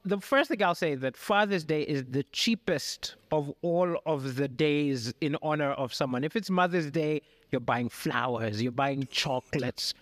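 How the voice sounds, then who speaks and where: A male comedian from Malawi chats animatedly, laughing often as he tells his stories. His voice sits somewhat high. The studio sound is dry and close. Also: moderate pace, hardly any pauses, dry recording. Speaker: guest comedian. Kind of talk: casual interview chat.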